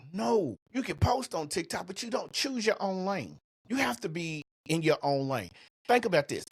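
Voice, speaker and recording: A middle-aged man with a husky voice hosting a motivational business podcast, speaking in a colloquial, emphatic, punchy way on a close microphone. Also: a little high, fairly bright tone, no echo. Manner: colloquial, emphatic, punchy delivery with rhetorical questions. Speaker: podcast host and coach.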